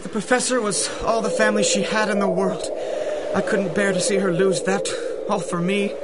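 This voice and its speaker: A man playing an overwrought, high-voiced servant, noisy track. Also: fairly even intonation, moderate pace, close to an everyday voice. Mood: anguished, defiant. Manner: overwrought, pleading. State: distraught. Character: loyal, lovelorn male servant, anguished and self-sacrificing. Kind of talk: 1930s radio melodrama acting.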